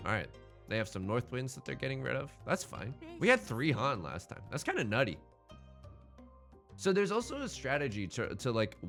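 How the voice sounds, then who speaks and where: Male let's play host, slangy and chatty, very varied pitch, thinking aloud over light background music. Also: a bit bright. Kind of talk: casual gameplay commentary.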